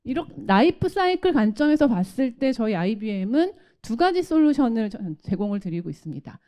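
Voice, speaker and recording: Female solutions presenter, mellow tone with animated intonation, formal and explanatory. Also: on the high side, fairly quick. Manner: explanatory, polite formal delivery. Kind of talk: technical product presentation.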